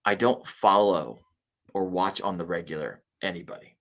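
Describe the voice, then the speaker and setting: A man's voice: low voice, moderate pace. A man guest on a live podcast, talking earnestly and emphatically in a coaching way about making videos. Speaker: podcast guest, kayak fishing YouTuber. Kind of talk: casual live podcast interview.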